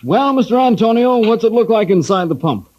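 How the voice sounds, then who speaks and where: A man playing a stern veteran submarine captain in old-time radio drama, giving authoritative orders in a rough, husky voice. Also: high voice, big pitch swings, brisk, a little hiss or hum. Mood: stern, questioning. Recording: dull lo-fi old radio recording.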